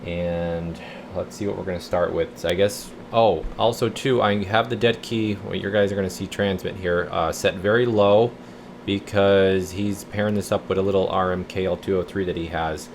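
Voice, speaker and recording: A low-pitched man talks through repair work in a loose, folksy way. He thinks aloud and rambles informally, like a technician presenting his own video. A steady hum sits faintly behind his voice. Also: moderate pace. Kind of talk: casual rambling repair walkthrough. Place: radio repair workshop.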